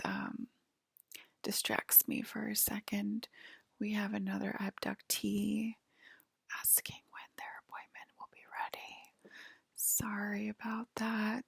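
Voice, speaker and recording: A woman softly whispering an ASMR roleplay to the listener as a polite, attentive alien abductor, pausing often. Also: mid-range voice, gravelly, slightly rough, dry recording. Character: polite, attentive female alien abductor queen acting as medical examiner. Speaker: ASMR performer.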